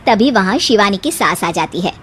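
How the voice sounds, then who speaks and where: A woman's voice: mid-range voice, expressive, normal speed, fairly bright tone, a little hiss or hum. A woman storyteller reading a scripted moral story.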